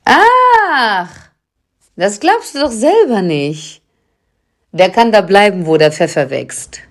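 A woman's voice: medium pitch, sing-song, slow pace. An actress playing a sassy, villainous witch grandmother in an audio drama, with a sardonic drawl. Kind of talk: German audio drama acting.

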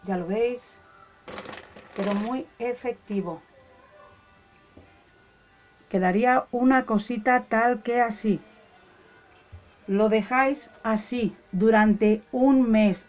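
A woman calmly explains a home ritual step by step, talking to her viewers as friends. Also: fairly high pitch, muffled recording. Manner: calm, instructive, addresses viewers as friends. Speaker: ritual channel host. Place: indoors at a table, hands only in shot.

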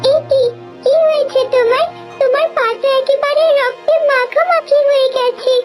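A woman's voice: very high voice, fairly even intonation, moderate pace, faint music behind. A woman voicing a mistreated, meek and kindly young stepdaughter in a fairy-tale cartoon, in a pleading manner. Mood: concerned. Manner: pleading and obedient.

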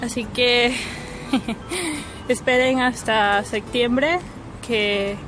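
A young woman from Ecuador chats to her viewers in a fairly high voice with an Ecuadorian accent. Her delivery is casual and giggly, the talk of a handheld outdoor vlog. Music plays clearly underneath her. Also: drawn-out words. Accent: Ecuadorian Spanish. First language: Spanish. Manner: chatty, giggly. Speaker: vlogger. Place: outdoors on a riverside path at night. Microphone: handheld phone or camera.

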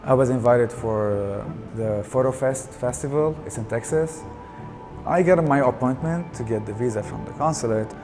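A man in his thirties from Syria, with a Syrian Arabic accent, speaking in a soft-spoken, reflective documentary interview. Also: mid-range voice, moderate pace. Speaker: artist. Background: background music.

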